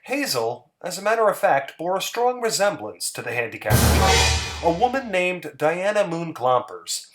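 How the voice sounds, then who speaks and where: A man's voice: fairly high pitch, normal speed, few pauses, music in the background. A man giving a dramatic, theatrical reading of a literary short story. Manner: expressive, theatrical. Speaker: story reader.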